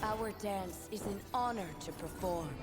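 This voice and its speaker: An actress voicing a proud, resolute young warrior woman in a video game, solemn and commanding, with measured delivery. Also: mid-range voice, darkish tone, close to an everyday voice. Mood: solemn, grave. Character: proud, resolute young woman warrior, blade dancer defending her homeland. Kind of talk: game champion voice lines and trailer narration. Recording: reverberant game audio over music.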